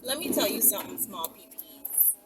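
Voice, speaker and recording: Woman, casual teasing monologue, very lively intonation, distant mic, loud background noise. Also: medium pitch. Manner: mocking, playful, drawn-out rhetorical questions. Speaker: online video creator.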